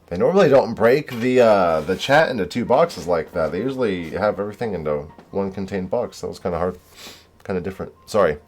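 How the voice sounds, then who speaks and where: A man's voice: low-pitched, expressive, fairly quick. A man streaming a game live, reading the dialogue aloud, restarting words and swearing and laughing in asides.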